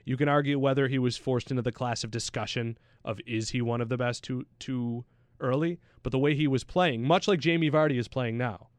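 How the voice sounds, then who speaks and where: A man's voice: mid-range voice, a little fast, no room sound. A man chatting casually about sports as a pundit, in a slangy, anecdotal way. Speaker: soccer pundit on a talk show.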